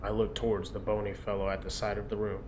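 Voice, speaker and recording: A man's voice: fairly low pitch, completely flat delivery, fast talker, a little mellow, slight background noise. A man reading scripted visual novel narration aloud.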